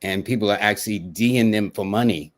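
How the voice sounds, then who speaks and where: A man with a somewhat low voice hosts a relaxed interview show over a video call. He talks in a chatty, easygoing way and laughs along with his guest. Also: fairly quick, a little hiss or hum. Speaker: host. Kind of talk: casual interview chat.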